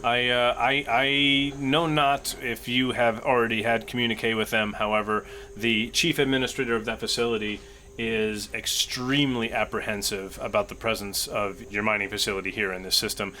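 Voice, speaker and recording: Un homme jouant un capitaine spatial courtois, voix vive. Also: male voice, medium pitch, level tone, bright, forceful tone, a little hiss or hum, close to an everyday voice. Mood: diplomatic, careful. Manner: formal, halting, wordy. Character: courteous, diplomatic human starship captain, formal and deferential. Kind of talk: improvised tabletop roleplay acting.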